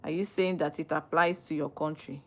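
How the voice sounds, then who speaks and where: Brief: female livestream host, Nigerian accent, slightly low voice, teasing and questioning. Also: steady tone, fairly quick. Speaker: livestream host, legal practitioner. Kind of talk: live chat reading viewer comments.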